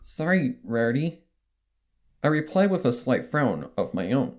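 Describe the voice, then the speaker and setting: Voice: medium pitch, very animated, moderate pace, darkish tone. A narrator reading a horror story aloud in a dramatic, first-person style. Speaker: fan fiction narrator.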